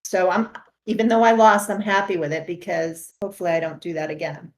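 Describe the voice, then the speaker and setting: A woman's voice: fairly low pitch, steady tone, a little fast. A woman trader chatting casually with a host, laughing easily. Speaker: guest trader. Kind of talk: casual chat.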